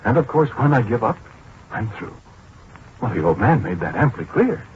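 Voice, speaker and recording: An American actor playing a fussy, exasperated middle-aged scientist who speaks hesitantly and excitably in a 1940s radio drama. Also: male voice, mid-range voice, moderate pace, slight background noise, close to an everyday voice. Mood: aggrieved, despairing. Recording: muffled 1940s radio broadcast with music.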